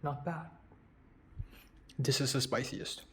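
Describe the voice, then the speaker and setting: A young man chatting casually in a vlog, tasting food and recounting his day, his voice a little raspy after a long phone call. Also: medium pitch, takes it slowly, a little hiss or hum. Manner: relaxed, chatty, drifting between soft murmurs and louder remarks. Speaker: vlogger.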